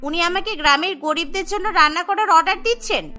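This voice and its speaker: Woman playing a shrill-high, haughty daughter-in-law. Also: fairly quick, pushed and bright, soft background music. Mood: spiteful. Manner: indignant, sneering. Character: haughty, stingy, spiteful daughter-in-law of landlord house.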